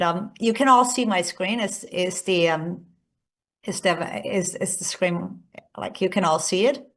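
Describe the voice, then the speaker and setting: A woman from Austria with an Austrian accent, a native German speaker, giving a semi-scripted conference keynote as a research executive. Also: lowish voice. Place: remote presentation by screen share.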